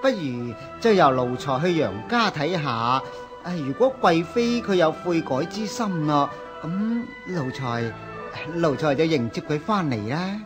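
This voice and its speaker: An obsequious palace eunuch in a Hong Kong Cantonese radio drama. His pitch swings widely as he pleads and hesitates anxiously, with faint music behind. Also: animated, measured pace. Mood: pleading.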